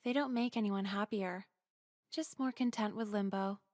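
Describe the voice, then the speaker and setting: A woman voicing a sardonic, depressed teenage high school girl in a visual novel game, deadpan, weary and profane. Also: normal speed, close to an everyday voice. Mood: bleak, deadpan.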